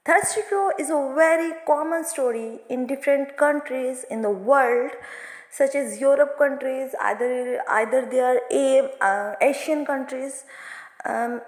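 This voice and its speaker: Woman, teacher, fairly high voice, halting non-native phrasing, story lesson delivery, echoey reverberant room. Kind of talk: explanatory lesson telling a moral story for students.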